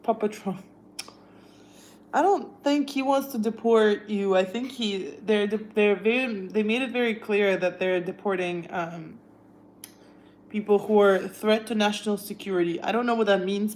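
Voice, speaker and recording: A woman's voice: medium pitch, a little slow, a little mellow, a little hiss or hum, low-quality recording. Young woman, Russian accent. Chatty livestream host, easygoing tone, reads viewer questions aloud. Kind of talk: casual livestream chat.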